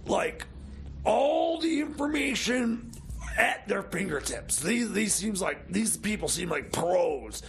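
A man playing an excitable, rambling time machine mechanic in improvised comedy radio banter, halting and repeating himself. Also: high voice, vocal fry, a little hiss or hum, heavily acted. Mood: scheming, rambling. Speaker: radio show co-host.

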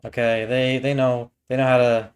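A man's voice: medium pitch, flat delivery, normal speed, darkish tone, clear, resonant tone. A young man giving casual, off-the-cuff reaction commentary from a small room indoors. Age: young adult. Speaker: reaction video host.

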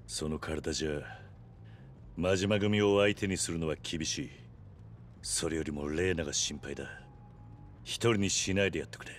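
An actor playing a stoic, protective yakuza hero in a video game, speaking tersely and gravely. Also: male voice, low-pitched, moderate pace, pauses often, slight background noise, natural voice. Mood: grave resolve. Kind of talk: dramatic video game acting.

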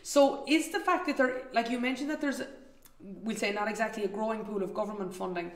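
A woman's voice: fairly high pitch, a little fast. Woman host in her 30s, rambling questions, roomy home video-call sound. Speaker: interview show host. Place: home room, indoors.

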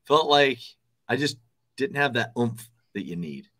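A man's voice: medium pitch, sing-song, unhurried, pushed and bright. A man in his thirties, a TV sports director, giving confident, emphatic sports analysis over a video call from an office. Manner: emphatic, confident, repeats words for stress. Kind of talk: casual sports analysis chat. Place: office with desks, indoors.

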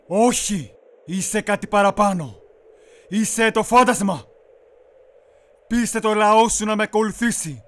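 A man playing a commanding, menacing Mongol warlord in a measured, persuasive way, in an amateur game dub. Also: high voice, close to an everyday voice. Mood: calm menace.

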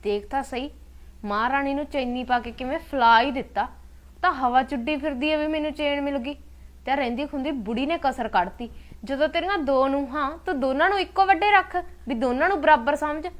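A woman with a Punjabi accent playing a jealous, resentful, sharp-tongued younger daughter-in-law in a family drama. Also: sits high, brisk, natural voice. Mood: bitter, resentful. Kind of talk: colloquial domestic drama acting.

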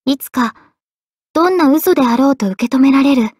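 Woman voicing polite young anime game mage girl, high voice, earnest n a bit self-deprecating, expressive, close dry sound. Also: brisk, dry recording, natural voice. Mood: somber. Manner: polite, expressive, shifting between excited and subdued. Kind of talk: anime game character voice lines.

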